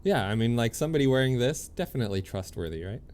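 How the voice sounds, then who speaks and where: A man's voice: mid-range voice, lively intonation, fast talker, darkish tone. A man giving casual livestream commentary on a video game, easygoing and chatty as he thinks aloud and reads out on-screen text. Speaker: game streamer.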